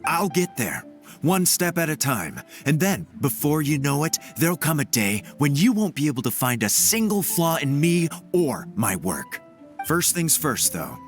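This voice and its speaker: An eager, boastful young clerk. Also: male voice, fairly high pitch, very animated, a little slow. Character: ambitious young male clerk, confident. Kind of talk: game character dialogue. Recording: game audio with music behind.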